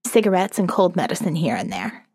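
A woman's voice: lowish voice, sing-song, fairly quick, warm tone, breathy edge, dry recording, clean studio sound, big-room sound. A woman reading as a sardonic young college student narrating her survivor's diary in a wry, profane, conversational way for an audio novel. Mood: wry, confiding. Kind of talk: first-person diary narration, dramatic reading.

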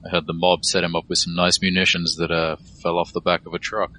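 Commanding leader of a street team played by a man, low and forceful, clipped orders, audio drama. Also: level tone, fast, slight background noise. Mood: reassuring. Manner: firm, terse, authoritative.

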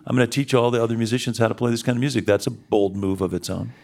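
A man's voice: mid-range voice, steady tone, very fast, vocal fry, darkish tone. A man hosting a radio show, interviewing a guest in an informal, encouraging, conversational way with loosely phrased questions.

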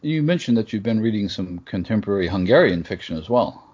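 A man's voice: lowish voice, lively intonation, brisk, darkish tone. A man hosting a podcast interview, asking questions and chiming in with short encouragements. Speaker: podcast host. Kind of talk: casual interview. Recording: muffled, husky audio.

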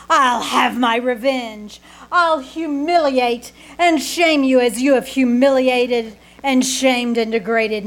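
Woman playing slow, theatrical melodramatic actress. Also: fairly high pitch. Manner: florid, stagey, dramatic declamation. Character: theatrical, melodramatic actress, wistful underneath, playing wronged innocent. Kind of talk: old-time radio acting on stage. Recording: live theater recording with faint noise.

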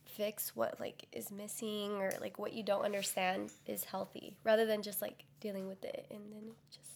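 A young woman co-hosting a casual student podcast, chatty and quick to agree. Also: mid-range voice, flat delivery, creaky, slight background noise. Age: young adult. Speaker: podcast co-host. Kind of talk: casual chat.

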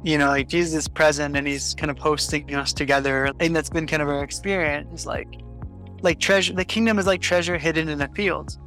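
A man from Canada, a podcast guest talking in a relaxed, chatty way and leaning on "like". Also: fairly high pitch, brisk, soft background music, poor audio. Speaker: podcast guest, community worker. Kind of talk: casual interview talk.

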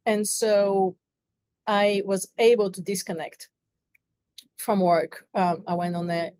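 A woman's voice: medium pitch, flat delivery, slow, darkish tone, no room sound. Woman, Bulgarian accent, middle-aged, reflective guest, dry close sound. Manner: earnest, reflective storytelling. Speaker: podcast guest. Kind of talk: interview conversation.

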